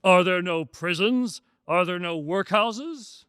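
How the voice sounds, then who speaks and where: A man playing a solemn spirit in a radio drama, giving grave warnings in a deliberate, declaiming way. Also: sits high, fairly bright tone, clear, resonant tone, dry recording, studio quality. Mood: ominous warning. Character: solemn ghost of Christmas present, a warning spirit.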